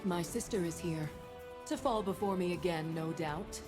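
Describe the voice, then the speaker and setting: A proud, stern warrior woman with wings , played by an actress, speaks in a lowish voice over music. She is solemn, cutting and zealous, in theatrical video game style. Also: moderate pace, darkish tone, natural voice. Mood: imperious.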